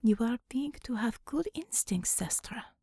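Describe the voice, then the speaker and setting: A learned Russian woman doctor from a superhero audiobook, as a female reader puts her on. She is warm and deliberate, with a Russian accent, broken English and a fairly high voice. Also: expressive, husky, dry recording, studio quality, exaggerated voice. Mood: warm, grave.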